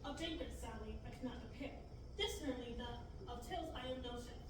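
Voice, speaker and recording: Fussy droid, read by a woman; high-pitched, far mic in a noisy, echoey room. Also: a little fast, close to an everyday voice. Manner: fussy, hesitant, stumbles over words. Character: fussy anxious male protocol droid. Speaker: table-read actor. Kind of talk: amateur Shakespearean table reading. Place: large classroom, read from a table. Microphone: far room camera.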